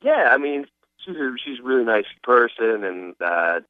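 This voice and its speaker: Lively man on a muffled phone line. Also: medium pitch, normal speed, no room sound. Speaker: person phoned by radio show. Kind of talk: casual phone chat. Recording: muffled telephone line on radio.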